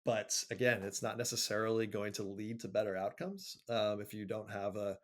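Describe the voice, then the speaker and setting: A man answering interview questions conversationally, thinking aloud in a measured way with frequent pauses. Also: lowish voice. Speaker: interview guest, marketing agency executive.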